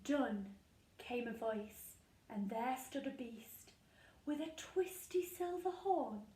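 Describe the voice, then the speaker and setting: A woman reading a rhyming picture book aloud to young children, sing-song and expressive, with dramatic pauses. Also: high-pitched. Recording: echoey room, distant from mic.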